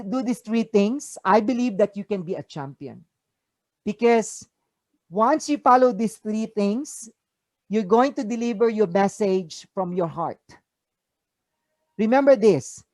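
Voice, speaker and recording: A speaker with a Filipino accent giving an inspirational talk over a video call, asking the listeners rhetorical questions with emphatic rises. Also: male voice, high voice, darkish tone, dry recording. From Philippines. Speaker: motivational speaker. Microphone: video call mic.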